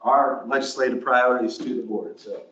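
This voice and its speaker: A man chairs a public meeting, his voice sitting at a middle pitch, and he wanders through the agenda thinking aloud and hedging as he goes. He is picked up from a distance in an echoing room. The recording is dull and lo-fi. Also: normal speed, fairly bright tone, slight background noise. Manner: meandering, thinks aloud and hedges. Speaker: commission chair.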